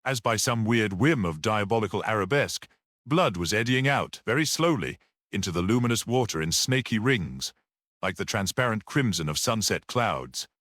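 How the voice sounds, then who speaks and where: Male audiobook narrator, low pitch, very animated intonation, measured literary delivery, dry close sound. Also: dry recording. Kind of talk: scripted narration of a gothic mystery story.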